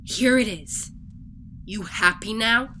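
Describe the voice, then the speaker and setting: Casting: actress as snappish, imperious female alien for sci-fi audio drama, highish voice, slower delivery, clearly noisy background. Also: bright, forceful tone. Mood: grudging, defensive. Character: hot-tempered, haughty Gargonian alien woman, hates animals. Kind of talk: science fiction audio drama acting.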